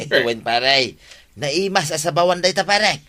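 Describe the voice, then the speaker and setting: A man's voice: a little high, animated, normal speed, sharp tone. A man with an Ilocano accent playing a scheming, pushy snail vendor in a radio comedy drama, with exaggerated wheedling delivery. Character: scheming, pushy male snail vendor hustling his neighbours.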